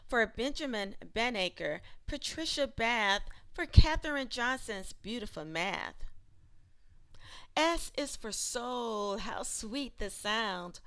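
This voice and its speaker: A woman reading aloud like an educator sharing a children's book, with deliberate and expressive phrasing. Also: a little high, smooth.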